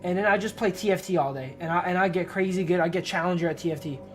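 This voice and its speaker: A man's voice: high voice, fast. Man streaming with music behind him. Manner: slangy, informal, often addressing listeners as bro and dude. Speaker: live streamer. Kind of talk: casual game commentary, reading visual novel text aloud.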